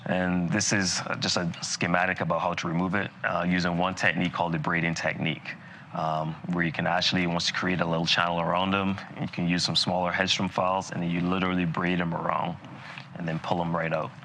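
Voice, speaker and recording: A man with a very low, bright and forceful voice, from Barbados, lecturing dentists live in a relaxed, conversational way full of fillers and asides. Faint noise sits under the recording. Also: a little fast. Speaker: dental lecturer. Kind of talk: live continuing-education lecture.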